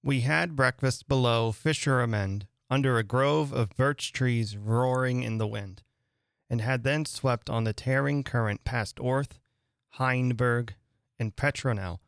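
Gravelly male narrator reading a dramatic tale. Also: mid-range voice, fairly even intonation, measured pace, no echo, clean studio sound. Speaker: reader and host of a dramatic reading radio show. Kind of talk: dramatic audiobook narration of a literary story.

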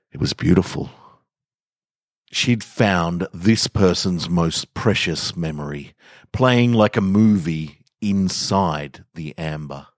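A man hosting a podcast and reading literary stories in a measured, deliberate way. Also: low voice, creaky, dry recording, clean studio sound. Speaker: podcast host and story reader. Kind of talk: literary story narration and podcast outro.

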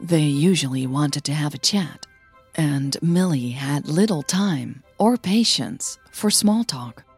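A woman reads scripted fiction in a somewhat low, breathy, husky voice. She takes her time, phrasing each line deliberately with pauses. Music plays behind her narration. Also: expressive. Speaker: podcast host and fiction narrator. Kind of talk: scripted audio story narration.

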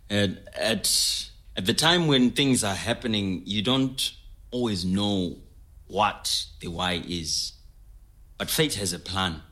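An actor playing an earnest, philosophical man, speaking haltingly and deliberately in an interview-style audio drama. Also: lowish voice, bright, forceful tone, heavily acted. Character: Zimbabwean man, earnest, philosophical, talkative filmmaker. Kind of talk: scripted oral-history audio drama told as interview.

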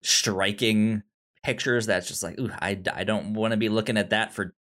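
A man's voice: lowish voice, steady tone, fairly bright tone. A man co-hosting a film podcast, talking casually and repeating words as he works out his thoughts. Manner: halting, repeats words while thinking. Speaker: podcast co-host reviewing films. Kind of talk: casual conversational film discussion.

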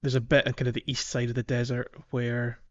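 A man's voice: medium pitch, completely flat delivery, a little fast, lo-fi sound. A man hosting a casual gaming podcast, chatting playfully through a game walkthrough. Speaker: podcast host.

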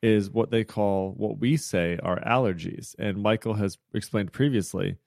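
An American man co-hosts a casual podcast with a fairly low voice. He rambles freely and leans on fillers. The sound is dry and close, picked up by wireless earbuds. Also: normal speed, vocal fry, a little mellow, no room sound. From United States. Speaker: podcast co-host.

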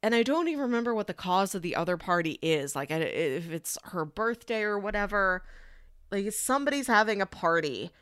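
A woman co-hosting a casual film discussion, retelling things in an animated way and acting out imagined lines. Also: medium pitch, brisk, smooth, dry recording, clean studio sound. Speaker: podcast co-host discussing a film.

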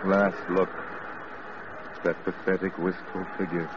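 A man narrating an old radio drama in a measured, wistful, theatrical voice. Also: deep voice, level tone. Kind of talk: 1940s radio acting. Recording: dull old radio broadcast with loud hiss.

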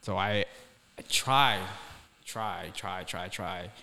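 A young man with a deep voice, originally from Somalia, talks earnestly on a casual podcast. He reflects philosophically and repeats words as he feels for a phrase. The sound carries a long, hall-like reverb. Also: slow pace, gravelly, sharp tone. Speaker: podcast co-host.

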